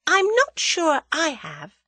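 A woman voicing a lively, impulsive young woman adventurer with an excitable, teasing manner in a storybook reading. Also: on the high side, normal speed, breathy edge, no room sound, voice pushed up, full character voice. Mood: teasing, thoughtful.

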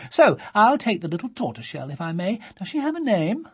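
A woman voicing a large, tall woman who has kept cats all her life, speaking in a brisk, matter-of-fact, hearty way. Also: mid-range voice, sing-song, husky, voice pushed up.

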